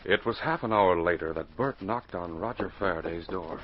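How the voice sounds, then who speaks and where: An actor as a hearty, folksy announcer and narrator in 1940s radio style, addressing young listeners. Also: male voice, sits low, normal speed, slight background noise, natural voice. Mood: storytelling. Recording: muffled, lo-fi 1940s radio recording.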